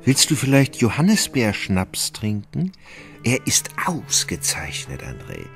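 An actor playing a gentle, melancholy and sluggish gentleman in a German radio play, with an emotional, wavering delivery. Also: lowish voice, huge pitch swings, a little slow, vocal fry, soft background music, heavily acted. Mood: tipsy, effusive. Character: gentle, sluggish, melancholy Russian gentleman, sentimental and easily moved.

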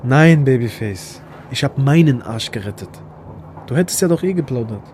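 An actor playing a brash, crude young buddy with cocky street slang, in a naturalistic film drama. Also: male voice, medium pitch, animated, moderate pace, darkish tone, lightly acted. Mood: cocky, brazen. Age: young adult. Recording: faintly noisy film location sound.

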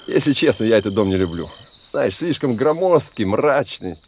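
A man's voice: mid-range voice, big pitch swings, moderate pace, darkish tone, slight background noise. An actor in a Russian radio drama playing a boastful, talkative married man who puts on expansive good cheer. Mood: confiding. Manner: expansive, talkative, peppers talk with questioning tags.

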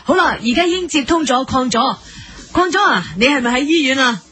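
A woman's voice: sits high, lively intonation, fairly quick, over music. A woman playing an earnest, patient TV presenter in a comedy parody, questioning politely and persistently in Hong Kong Cantonese.

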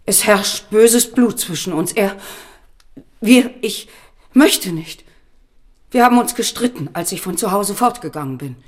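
A woman's voice: mid-range voice, expressive, unhurried, long pauses. An actress in a German radio drama playing a learned, guarded and troubled woman disguised as a monk. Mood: agitated, troubled.

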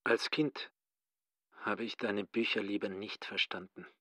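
An actor playing a learned, articulate brother in a radio drama, with a formal and deliberate manner. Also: male voice, lowish voice, fairly even intonation, bright, forceful tone, no echo. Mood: measured. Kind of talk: German radio drama acting.

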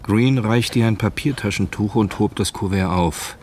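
Male narrator, very low voice, sober, measured, scripted radio drama, faint background ambience. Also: darkish tone, slight background noise.

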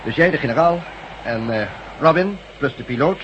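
A man playing a gruff, domineering camp chief in a radio drama, speaking in a commanding way. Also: fairly high pitch, animated, close to an everyday voice. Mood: brisk, grim. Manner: commanding, brisk. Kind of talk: Dutch radio drama acting. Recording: dull, very noisy old radio recording.

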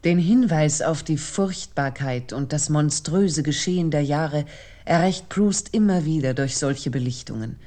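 Female narrator for literary radio, low and dark-toned, measured essayistic delivery. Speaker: commentator narrator.

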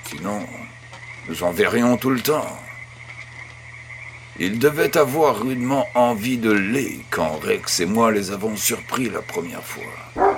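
Male actor as old country grandfather, deep-leaning voice, affectionate, patient storyteller, audio drama, noisy background. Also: expressive, takes it slowly. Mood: storytelling. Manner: tender, patient, encouraging. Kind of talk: French audio drama acting.

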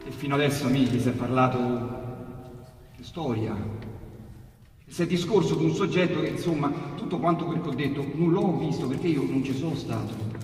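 A man with a Roman accent recites dialect verse as a theatrical storyteller, with dramatic pauses and emphatic repetitions. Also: medium pitch, darkish tone. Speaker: actor reciting dialect poetry. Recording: echoey hall, distant mic, piano accompaniment. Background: piano music.

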